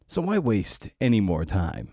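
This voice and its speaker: A man's voice: on the low side, wildly swooping pitch, a little slow, mellow. A man hosting a podcast in a casual, wry style, often posing rhetorical questions. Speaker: podcast host. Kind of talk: casual podcast monologue and interviewing.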